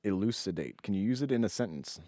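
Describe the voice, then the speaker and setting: A man's voice: fairly low pitch, animated, fast, mellow, dry recording, clean studio sound. A man speaking casually as the co-host of a conversational podcast.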